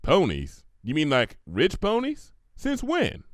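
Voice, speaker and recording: A man's voice: fairly high pitch, huge pitch swings, slow pace, no room sound, clean studio sound, pitched above the performer's own voice, exaggerated voice. A man voicing an earnest, plain-spoken female farm pony. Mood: doubtful. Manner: folksy, emphatic.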